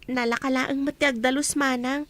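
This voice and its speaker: A woman's voice: high-pitched, fairly even intonation, moderate pace, no echo, close to an everyday voice. A woman with an Ilocano accent playing a polite, curious young new housemaid in a village comedy radio drama. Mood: polite, eager. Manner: polite, inquisitive.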